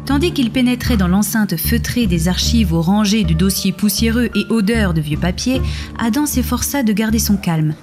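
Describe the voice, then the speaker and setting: A woman's voice: mid-range voice, expressive, normal speed. A woman narrating scripted audio fiction in a literary storytelling style. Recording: music behind the voice.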